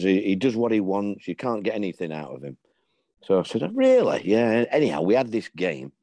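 An older man tells stories in a low, mellow voice, slipping into the voices of the people in them. The sound is dry and close, with almost no room around it. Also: no room sound. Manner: animated storyteller, acts out dialogue. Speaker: former football manager telling stories. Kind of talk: casual anecdotal chat.

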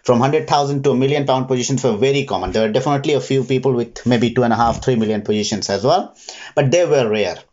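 A man's voice: mid-range voice, fast, darkish tone. Man in a dull, muffled interview recording. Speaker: interview guest, retail trader. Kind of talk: reflective interview answers.

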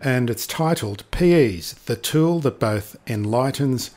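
A man's voice: mid-range voice, lively intonation, slow, a little mellow. A man hosting a podcast interview, asking short questions and often ending with a tag like "isn't it". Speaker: podcast host.

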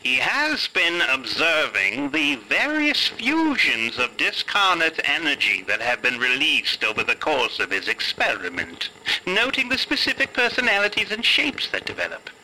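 Eerie ghost-box spirit, acted by a man, piercingly bright, swooping delivery, deliberate formal phrasing, faint radio static. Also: on the high side, wildly swooping pitch, exaggerated voice, poor audio. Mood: ominous, clinical. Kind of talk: tabletop audio fiction role-play.

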